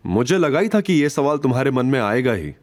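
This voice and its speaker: Man acting young male chairman, medium pitch, composed, measured, gently authoritative, audio drama. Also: sing-song, lightly acted. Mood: reassuring. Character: calm, magnanimous young male chairman hiding his wealth. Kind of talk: Hindi audio drama dialogue.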